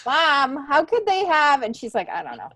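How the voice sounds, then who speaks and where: A woman's voice: high-pitched, very animated, moderate pace, pushed and bright, no echo. A middle-aged woman, a podcast guest, telling stories in a casual, chatty, meandering way. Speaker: podcast guest, stand-up comedian. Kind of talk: casual conversational storytelling.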